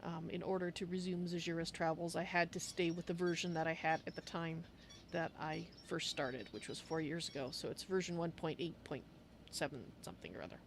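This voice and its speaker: A woman's voice: fairly low pitch, steady tone, a little fast, a little hiss or hum. A woman livestreaming a roleplay game, chatting casually to her viewers. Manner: speaks of her character in the third person.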